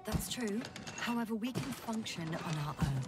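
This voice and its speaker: A woman's voice: fairly high pitch, lively intonation, normal speed, recorded from a distance, over loud music, natural voice. An actress playing a precise, strained woman scientist on a planetary expedition in a dramatic video game. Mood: analytical, composed.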